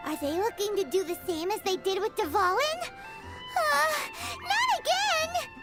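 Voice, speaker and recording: A woman voicing a small, excitable childlike fairy companion in a game, squeaky and earnest in anime style. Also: a little slow. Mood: alarmed, questioning. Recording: loud game music behind. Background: game music.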